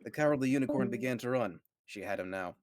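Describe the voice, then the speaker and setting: A man's voice: mid-range voice, fast, a little mellow, no echo. A man narrating a comic fan fiction reading with dramatic storytelling flair. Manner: dramatic storytelling read aloud, occasional stumbles.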